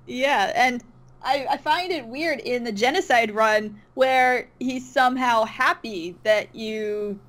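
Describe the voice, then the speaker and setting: Slow, hesitant woman with a lightly high voice. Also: fairly bright tone. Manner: hesitant, agreeable, trailing off. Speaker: interview guest, online comic dub creator. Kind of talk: casual chat.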